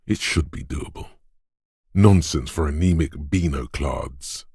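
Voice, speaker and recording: An actor voicing a gruff, domineering inner voice of brute strength in a video game, husky and rumbling, with scornful, deliberate drill-sergeant delivery. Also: male voice, dark tone, no room sound, clean studio sound, exaggerated voice. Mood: grave, measured. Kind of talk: video game character narration.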